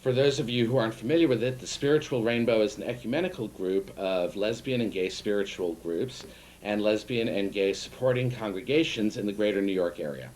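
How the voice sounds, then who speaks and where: Man co-host, low voice, dry joking asides, faint hiss. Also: brisk, darkish tone, poor audio. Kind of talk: casual studio chat. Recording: old analog tape with faint hiss.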